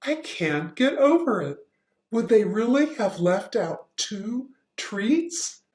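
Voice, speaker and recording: A woman voicing a puzzled male schoolteacher in a storybook reading, dry and measured. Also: mid-range voice, sing-song, darkish tone. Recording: roomy echo.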